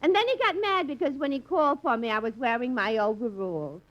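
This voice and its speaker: A woman's voice: sits high, animated, a little fast. A woman playing chatty wisecracking telephone operators in a 1950s radio comedy, with a brassy manner. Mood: gossipy. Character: two wisecracking female switchboard operators. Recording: lo-fi old radio broadcast with studio audience.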